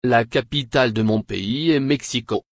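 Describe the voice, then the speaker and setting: A man's voice: mid-range voice, moderate pace, no room sound, studio quality. A man reading short French answers for a language lesson in a plain, scripted way. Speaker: French dialogue reader.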